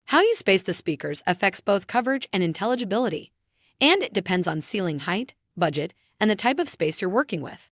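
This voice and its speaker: A woman reading a scripted technical tutorial in a clear, measured, instructional manner. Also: medium pitch, sing-song, dry recording, studio quality. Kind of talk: scripted explainer narration.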